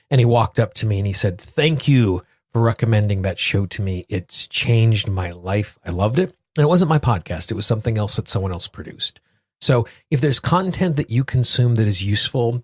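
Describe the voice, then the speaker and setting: A man with a fairly low, slightly husky voice talks as a solo podcast host. He phrases things earnestly and deliberately, often repeating for emphasis. The sound is close and dry. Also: dry recording. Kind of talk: solo podcast talk with guided affirmations.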